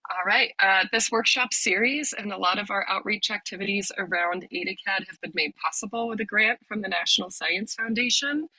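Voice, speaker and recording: A woman leading an online workshop on a video call, explaining the steps in a friendly way with many ums, a little hoarse from a cold. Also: medium pitch, brisk, hardly any pauses, pushed and bright, no room sound, rough recording. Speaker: workshop leader, lab director.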